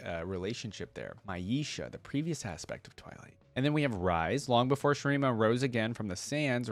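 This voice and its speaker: A man's voice: medium pitch, no echo. A man hosting a reaction video, casually reading aloud and commenting as he thinks things through.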